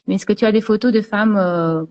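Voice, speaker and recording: A woman hosts a relaxed interview and asks long, winding questions, restarting her sentences as she goes. Her pitch is middling. The audio sounds dull and lo-fi. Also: fairly quick. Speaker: host, interviewer. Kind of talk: casual interview chat.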